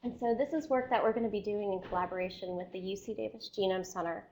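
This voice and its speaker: A woman scientist giving an academic seminar lecture, explaining step by step and often checking in with her listeners, while losing her voice and clearing her throat. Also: medium pitch, fast talker, a little mellow, a little hiss or hum, poor audio.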